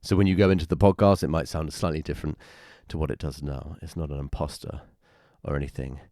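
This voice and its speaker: A man in his thirties with a raspy voice from a cold, chattily introducing his podcast. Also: fairly even intonation, fast, dark tone, no echo, studio quality. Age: 30s. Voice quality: raspy, very deep. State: hoarse from a cold. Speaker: podcast host.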